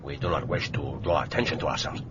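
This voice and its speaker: An actor playing a wily, jovial Japanese spymaster in a radio drama, with a Japanese accent and a formal, authoritative, deliberate delivery. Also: male voice, rumbling low, steady tone. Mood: apologetic. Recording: dull, noisy old radio recording.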